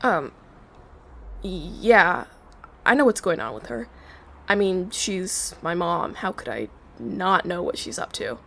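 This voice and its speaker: A woman's voice: fairly low pitch, animated, slow, creaky, fairly bright tone, exaggerated voice. A woman playing a wary, questioning young woman in an audio drama, guarded and matter-of-fact. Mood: evasive, casual. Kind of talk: naturalistic audio drama acting.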